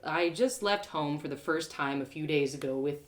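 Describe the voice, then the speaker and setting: A woman's voice: sits low, normal speed, lightly acted. A woman playing a spirited, awkward young girl in an audio drama, earnest and easily flustered.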